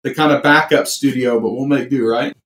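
A man's voice: medium pitch, fairly even intonation, brisk, fairly bright tone. A man hosting a podcast interview, friendly and joking as he introduces his guest from a makeshift studio. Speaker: podcast host. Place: backup studio. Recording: echoey room, mic at a distance.

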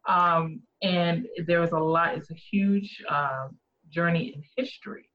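A woman's voice: fairly low pitch, a little slow. A woman hosting a podcast, asking a guest questions in a friendly, curious, chatty way. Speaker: podcast host. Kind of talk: casual interview chat. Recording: dull lo-fi recording.

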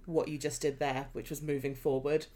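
A woman's voice: low voice, little pitch movement, moderate pace, mellow. A woman co-hosting a podcast from home, bantering playfully and teasing her friend. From England. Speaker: podcast co-host. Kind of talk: casual chat. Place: home flat.

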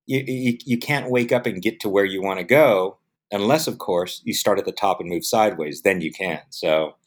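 A middle-aged man from the United States, a film producer speaking in a casual interview, telling stories and acting out the voices of people he quotes. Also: moderate pace. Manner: animated storyteller, acts out quoted voices with jumps in pitch; repeats words when restarting.

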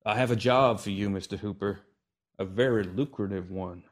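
A man's voice: on the low side, moderate pace, lightly acted. A man voicing a brash young would-be gunslinger who asks blunt, pushy questions. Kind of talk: audiobook character voice.

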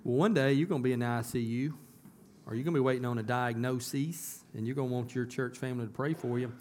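A hoarse man with a drawl pastors his church in a relaxed, folksy voice. His pitch sits mid-range, and he leans into emphatic bursts. A faint hiss runs under the church sound. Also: quick pace. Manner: folksy, conversational, rising to emphatic. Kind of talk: casual church announcements and sermon. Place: church sanctuary.

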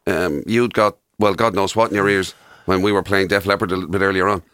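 A man's voice: deep voice, steady tone, fast, a bit bright, a little hiss or hum. An Irish man co-hosting a radio show in casual, chatty banter.

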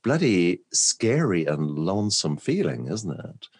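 A man from Germany hosting a podcast interview, enthusiastic and quick to laugh. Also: sits low, sing-song, darkish tone. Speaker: podcast host. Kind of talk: conversational interview.

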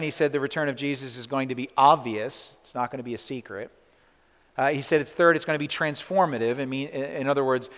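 A man's voice: medium pitch, in a large hall. A man preaching a sermon as pastor, talking conversationally with many "right?" asides and restarts.

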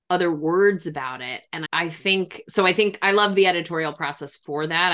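A middle-aged woman with a fairly low voice talks in a reflective interview. She sounds like an earnest therapist thinking aloud, leaning on key phrases to make them land. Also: fairly bright tone. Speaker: therapist and grief expert.

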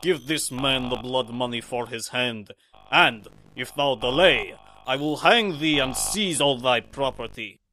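A man voicing a stern but just viceroy in an audio drama, measured and imperious. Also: mid-range voice, pushed and bright, a little hiss or hum, voice pushed up, poor audio. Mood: stern, threatening.

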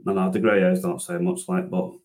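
Low-voiced man in his thirties, rambling. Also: sing-song, a little fast, vocal fry, darkish tone. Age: 30s. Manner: rambling, many restarts and fillers, self-deprecating. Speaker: interview guest, transport manager and driver trainer. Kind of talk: casual podcast interview.

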